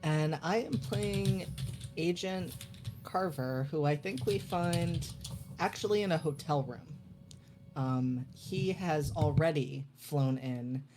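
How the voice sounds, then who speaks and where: A player introducing and describing their character in a deliberate, detailed way. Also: medium pitch, a little mellow, faint music behind.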